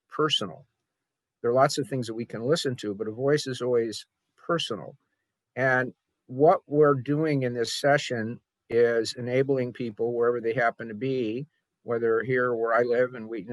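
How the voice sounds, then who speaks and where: A man hosts a literary program in a reflective, informal way. His mid-pitched voice moves haltingly, with deliberate pauses between phrases. The sound is dry, with little room around it. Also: dry recording, rough recording. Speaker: host introducing a literary reading. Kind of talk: informal spoken introduction.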